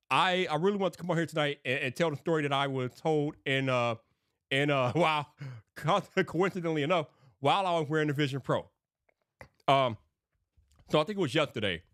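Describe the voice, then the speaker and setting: A man's voice: a little high, a bit bright, dry recording, studio quality. A man with a lisp, talking earnestly and casually to his livestream chat as a tech creator. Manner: earnest, rambling, sometimes hypes viewers. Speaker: tech YouTube creator live streaming.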